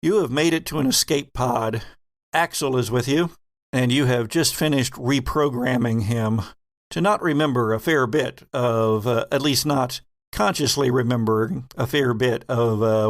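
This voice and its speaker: Slow, deliberate male game master. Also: medium pitch, no echo, studio quality. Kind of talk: narrating a tabletop horror game.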